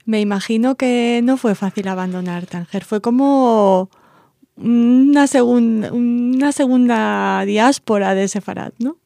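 A woman with a rather high voice, hosting a radio interview. She speaks conversationally, and the studio sound is dry. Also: unhurried, creaky, warm tone, dry recording.

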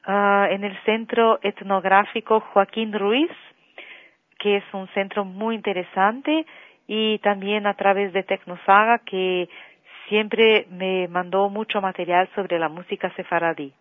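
A Brazilian-born woman singer, a guest on a radio interview by phone, telling her story slowly with long pauses and fillers. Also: mid-range voice, fairly even intonation, dry recording. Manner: slow, warm storytelling with drawn-out fillers, sings softly at times. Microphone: telephone. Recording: tinny phone line.

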